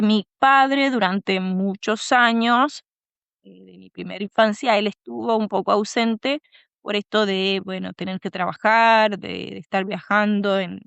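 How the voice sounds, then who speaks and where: A woman from the Dominican Republic, a guest in an interview, talking thoughtfully and pausing to find her words. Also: mid-range voice, creaky, a bit bright, clean tone, dry recording, muffled recording. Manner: thoughtful, pauses to search for words. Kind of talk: conversational interview.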